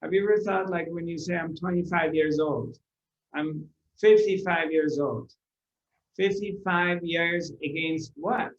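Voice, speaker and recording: A man giving a spiritual discourse to a live audience, unhurried, repeating his phrases and asking rhetorical questions. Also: on the high side. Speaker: spiritual teacher. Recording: roomy, dull lo-fi recording.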